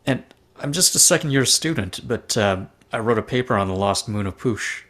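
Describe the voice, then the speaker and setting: A man's voice: fairly low pitch, lively intonation, normal speed, fairly bright tone. A man playing an eager, modest young female student researcher, chatty and self-deprecating, in a sci-fi table read. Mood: friendly, modest.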